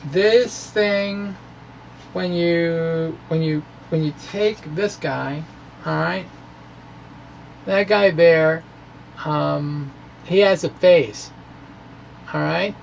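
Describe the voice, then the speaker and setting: A middle-aged American man giving an informal, halting explanation to camera, with animated, self-deprecating asides. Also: on the high side, creaky, slight background noise, poor audio. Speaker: physics video blogger. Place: small indoor room with bookshelves. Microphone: microphone on stand in front of chest.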